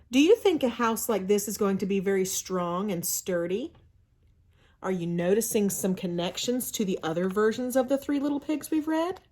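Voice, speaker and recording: A woman reading a children's story aloud in an expressive, teacherly way, pausing for asides and questions to young listeners. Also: a little high. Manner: expressive, teacherly, often asks rhetorical questions.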